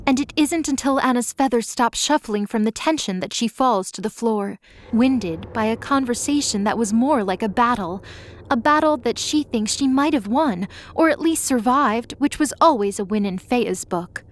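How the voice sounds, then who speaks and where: A woman's voice: a little high, expressive, normal speed. A woman narrating scripted dramatic audio fiction, reading as a storyteller.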